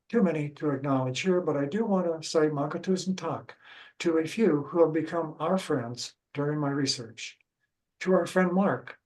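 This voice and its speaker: An older man with a Midwestern American accent reads a prepared history talk. His delivery is measured and careful, and his voice sits a little high for a man. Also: poor audio. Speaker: local historian giving a talk. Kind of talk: reading a prepared lecture.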